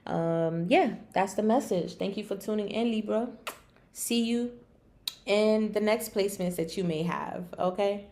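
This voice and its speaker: A raspy-voiced woman with a slight cold, giving a casual, conversational tarot and astrology reading to viewers, with playful asides. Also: medium pitch, unhurried, a little mellow. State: getting over sneezing and coughing. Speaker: online tarot and astrology reader.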